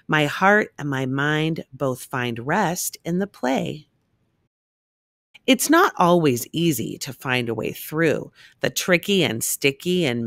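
A woman reading a rhyming children's picture book aloud in an expressive, measured storytelling manner. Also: low voice, dry recording, studio quality.

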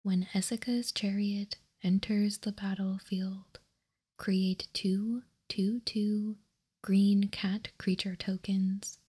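Female ASMR host, breathy whisper, unhurried and deliberate, flat pitch, soft dark tone. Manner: slow, deliberate, reading card text piece by piece. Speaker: host of a trading card ASMR channel. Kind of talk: ASMR whispered card showcase.

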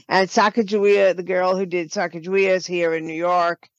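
A woman's voice: on the low side, steady tone, moderate pace. An older woman tells stories conversationally as a podcast guest. The recording is slightly dull and lo-fi, like a remote connection, with very little room echo around her. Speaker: podcast guest, writer and producer. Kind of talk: conversational storytelling.

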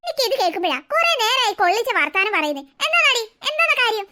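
A harried mother scolds her children and calls out impatiently while she works at the stove, as a woman acts her out. Her voice is high and quick, with a sharp, bright edge. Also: very animated, exaggerated voice. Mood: exasperated. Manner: impatient, scolding, calling out from the kitchen.

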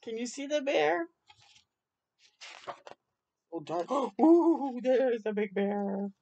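Slow, high-voiced older woman reading aloud. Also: expressive, dark tone. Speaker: children's storytime host. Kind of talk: read-aloud of a rhyming picture book with brief asides. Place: outdoors on a porch.

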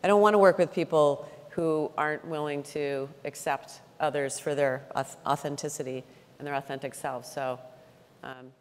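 A woman's voice: low-pitched, steady tone, normal speed, clean tone. A woman moderating a conference panel, talking casually with many fillers. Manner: casual, rambling, filler-heavy. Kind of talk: panel discussion. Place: large conference hall stage. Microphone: handheld mic. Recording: reverberant hall.

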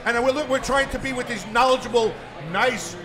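A man bantering loudly and teasing his co-hosts on a live podcast at a brewery. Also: very high voice, fairly even intonation, normal speed. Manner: loud, joking, teasing. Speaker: show regular. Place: outdoor brewery space, live event. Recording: loud crowd chatter behind.

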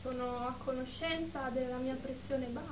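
A woman's voice: sits high, steady tone, moderate pace, natural voice. An actress playing a fragile, nervous young female shop assistant in therapy, hesitant and evasive, in a radio drama. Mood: defensive, hesitant. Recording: roomy, distant mic, noisy background.

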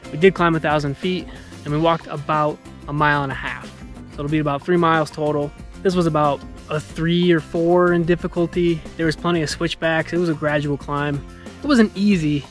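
A young man chatting easily as a travel vlogger, filming himself with a handheld camera on a mountain trail and in a camper van. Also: fairly high pitch. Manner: easygoing, chatty, self-mocking. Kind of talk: casual travel vlog narration. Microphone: handheld camera at arm's length.

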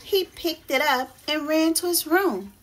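A woman with a very high voice reads a picture book to children. She takes her time, speaking slowly and deliberately, with the animated delivery of a teacher at story time. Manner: slow, deliberate, animated storytelling for young listeners. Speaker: teacher reading picture books aloud to children. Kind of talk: expressive children's storybook read-aloud.

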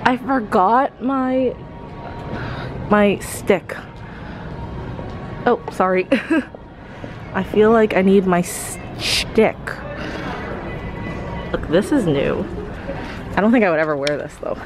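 A woman's voice: mid-range voice, slow pace, pauses often, over music. A young woman in her twenties chatting casually through a clothing try-on vlog, rambling with fillers as she films on a handheld camera in a shop. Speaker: fashion vlogger. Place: clothing store, indoors.

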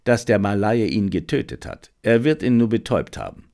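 A man's voice: lowish voice, lively intonation, brisk, vocal fry. A man voicing a commanding, cool-headed detective in an audiobook, terse and urgent. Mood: cool, brisk. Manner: terse, urgent, hushed at times.

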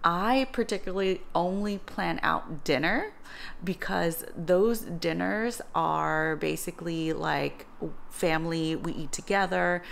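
A woman's voice: fairly low pitch, takes it slowly, creaky. A woman in her thirties explaining home cooking in an informal, conversational vlog style. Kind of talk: casual vlog explanation to camera.

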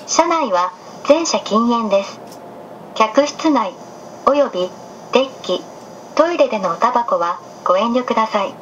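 A woman's voice: fairly high pitch, expressive, muffled recording. A woman reading a polite, scripted automated announcement, played over a train's speakers. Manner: polite, measured, precisely scripted. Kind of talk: recorded scripted public announcement. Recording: train PA with background noise.

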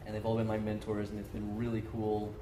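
A man's voice: on the low side, completely flat delivery, fast. A man on a convention panel, answering in an earnest, easygoing way. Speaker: actor on a panel. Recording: distant mic in echoey tent, noise.

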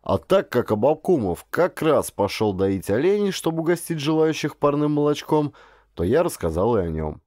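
A man narrating a literary audiobook in an expressive, dramatic storytelling manner. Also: a little high, normal speed, no echo, studio quality.

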